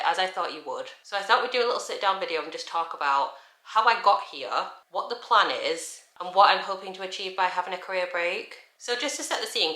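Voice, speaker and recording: A woman, chatting candidly in a sit-down vlog. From England. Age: 30s. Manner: chatty and candid, lively shifts in pitch. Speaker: vlogger. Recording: echoey room.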